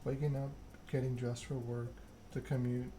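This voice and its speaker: A man reading a story aloud in an ASMR narration style, measured and unhurried. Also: medium pitch, little pitch movement, warm tone. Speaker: video game streamer reading game text aloud. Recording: noticeable background noise.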